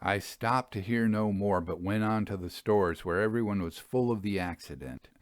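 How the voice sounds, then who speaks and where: Guy narrating an old-timey ghost tale, lowish voice, dry close sound. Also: moderate pace, no echo. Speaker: story narrator. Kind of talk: literary audiobook reading of a Victorian ghost tale.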